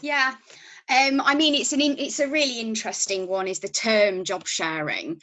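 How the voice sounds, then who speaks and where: A woman's voice: fairly high pitch, fairly even intonation, normal speed, fairly bright tone, no room sound, low-quality recording. Chatty Yorkshire woman, dry close sound. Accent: Yorkshire English. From Yorkshire, England. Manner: chatty, many ums and restarts. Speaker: podcast guest, company founder. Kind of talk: casual interview talk.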